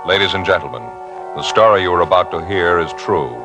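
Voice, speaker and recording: A man announcing a 1950s radio crime show and its sponsor in a grave, measured, formal manner. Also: deep voice, over music, low-quality recording.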